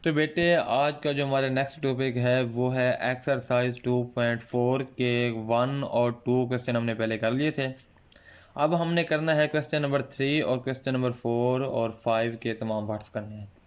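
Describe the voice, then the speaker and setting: A male math teacher, giving a patient online lecture with a sore throat, clearing it now and then. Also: medium pitch, steady tone. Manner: slow, patient, calls students "son" often.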